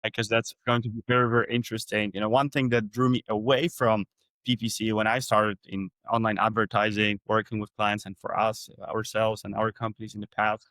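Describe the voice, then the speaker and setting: A man's voice: fairly low pitch, little pitch movement, a little fast, fairly bright tone, dry recording. A man from Europe hosting a podcast, asking curious questions in a chatty way. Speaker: podcast host. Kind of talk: casual interview talk.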